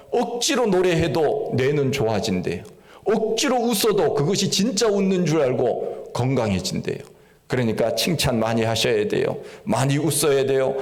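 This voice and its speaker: A middle-aged pastor from Korea's Gangwon Province with a slight Gangwon accent, preaching a sermon in a folksy, storytelling way with rising emphatic peaks. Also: male voice, fairly high pitch, a little mellow.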